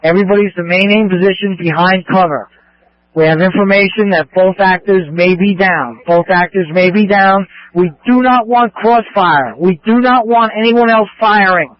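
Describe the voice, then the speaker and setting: A man's voice: high voice, normal speed, no echo. A man commanding police units over radio in short, firm, repeated orders. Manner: firm, repetitive, directive. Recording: muffled police scanner radio.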